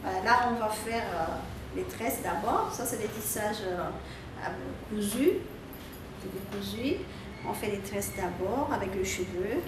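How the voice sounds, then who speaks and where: A woman's voice: mid-range voice, unhurried. A woman from the Comoros, a hairdresser talking casually and proudly about her salon while she works, often repeating words. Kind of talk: casual interview talk while working. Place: hair salon. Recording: echoey room, distant mic, background noise.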